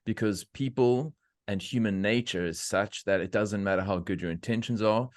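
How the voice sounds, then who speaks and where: A middle-aged man from South Africa hosting a podcast, talking in opinionated, animated rants with laughter. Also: on the low side, normal speed, no echo, clean studio sound. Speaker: podcast host. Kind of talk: casual interview chat.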